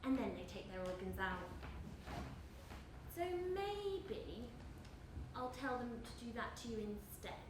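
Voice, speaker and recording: An actress as a cruel, threatening woman in a stage play, high-pitched with lively swings. Her delivery is deliberate and taunting. She is heard from a distance. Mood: taunting threat. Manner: deliberate, taunting, repetitive phrasing. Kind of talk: live stage play acting. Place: indoor stage of a live theatre performance. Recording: echoey theatre, distant mic, noisy.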